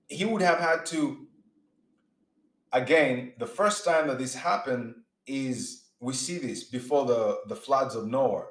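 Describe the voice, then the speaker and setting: Earnest man preaching in an echoey room. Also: mid-range voice. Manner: earnest, repeats words and restarts while building points. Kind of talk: sermon-style teaching.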